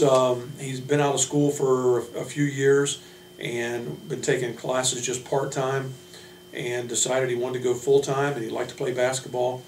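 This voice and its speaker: Even-toned male coach, distant and echoey. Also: mid-range voice. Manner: halting, plain-spoken coach talk. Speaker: college basketball head coach. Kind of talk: unscripted team roster introduction. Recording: roomy, distant mic, noisy hum.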